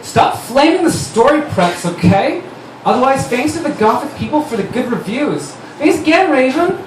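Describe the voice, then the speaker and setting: Defensive teenage fan fiction girl, played by a man, raised pitch, huffy delivery, distant live stage sound. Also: sing-song, normal speed. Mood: huffy, defiant. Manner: huffy, defiant, gushing. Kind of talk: dramatic stage reading of author's notes. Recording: noisy, echoey live room recorded from far off.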